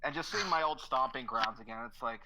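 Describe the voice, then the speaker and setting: A man's voice: medium pitch, fairly quick, a loud crowd, low-quality recording. A man in his mid-thirties co-hosting from his father's house, chatting in an easygoing, storytelling way over a remote call. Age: 30s. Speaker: co-host.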